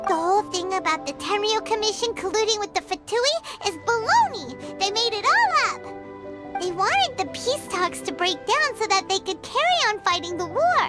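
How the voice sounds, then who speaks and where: Squeaky little fairy companion. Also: female voice, sing-song, moderate pace. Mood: earnest, explaining. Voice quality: squeaky, childlike. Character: small floating childlike fairy companion, chirpy and earnest. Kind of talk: video game voice acting. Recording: loud music under the voice. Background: game background music.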